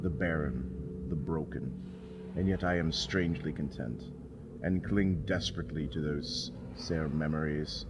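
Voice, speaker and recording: Deep-voiced man solemnly reading gothic horror, noisy background. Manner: solemn, measured, brooding. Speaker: story narrator. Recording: noisy, with ambience underneath. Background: spooky ambience.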